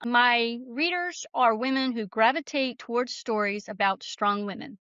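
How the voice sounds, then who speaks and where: A woman's voice: on the high side, a little slow, fairly bright tone, clean tone, no room sound. A woman speaking as an author and podcast guest, telling stories in a casual, conversational way on a slightly lo-fi recording. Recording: slightly dull, lo-fi sound.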